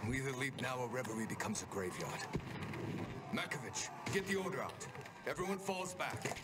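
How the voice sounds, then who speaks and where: An actor playing an urgent, determined male soldier in a game, forcefully barking orders. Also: medium pitch, lively intonation, normal speed, close to an everyday voice. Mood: grim urgency. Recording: very noisy battlefield log.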